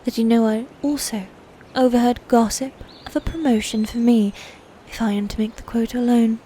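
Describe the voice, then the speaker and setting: A woman's voice: fairly high pitch, fairly even intonation, mellow, slight background noise, natural voice. A woman playing a proud, playfully cruel female knight in a scripted medieval fantasy audio roleplay, with theatrical, formal old-world phrasing. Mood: scheming. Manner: theatrical, archaic formal phrasing, slow and deliberate.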